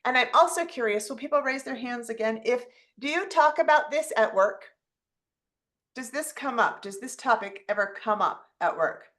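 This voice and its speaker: A woman's voice: fairly high pitch, lively intonation, normal speed, a bit bright. Curious woman co-hosting a video workshop. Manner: curious, engaging, asks the audience questions. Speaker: co-host. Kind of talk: online workshop facilitation. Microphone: video call.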